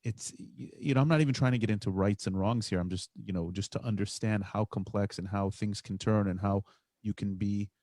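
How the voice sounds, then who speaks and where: A man's voice: fairly low pitch, fairly even intonation, brisk, mellow, dry recording, clean studio sound. A man hosting a podcast interview, thinking aloud with frequent "you know"s and stammered restarts. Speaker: podcast host. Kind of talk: casual interview talk.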